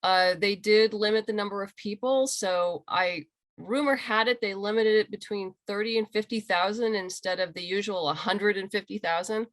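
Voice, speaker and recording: A woman's voice: mid-range voice, normal speed, no room sound. Enthusiastic woman chatting on a video call. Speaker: interview guest, comic book writer and creator. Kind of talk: casual interview chat. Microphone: video call microphone.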